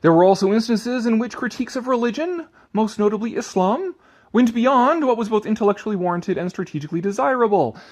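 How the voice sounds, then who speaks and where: A man's voice: sits high, expressive, fairly quick. A man giving a dramatic reading with sarcastic, exasperated asides and casual swearing, shifting between declaiming and offhand muttering. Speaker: commentator reading an article aloud.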